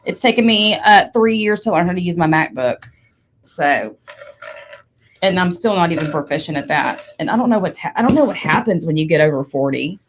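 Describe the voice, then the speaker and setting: A woman's voice: fairly low pitch, lively intonation, fairly quick, slight background noise. A woman in her forties chatting casually, blunt and candid with the odd swear. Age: 40s. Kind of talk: casual chat.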